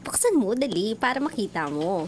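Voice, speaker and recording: An actress playing a smitten, hopeful young woman in a Filipino radio drama, girlish and gushing with drawn-out words. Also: mid-range voice, sing-song, a little hiss or hum, natural voice. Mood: thrilled. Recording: music bed behind voice.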